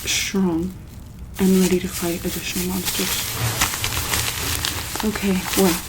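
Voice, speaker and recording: A woman speaking softly in an ASMR medical roleplay as a calm, caring doctor, halting often with pauses and ums. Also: lowish voice, noisy background. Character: calm, caring female monster-hospital doctor.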